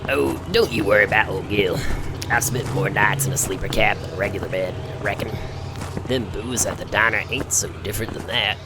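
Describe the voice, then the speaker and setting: A man's voice: lowish voice, a little fast, heavy background noise, natural voice. A man playing a folksy, brash but easily flustered space trucker with a Southern US accent in an audio drama. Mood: folksy, easygoing. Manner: drawling folksy talk, blustering. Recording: music behind the voice.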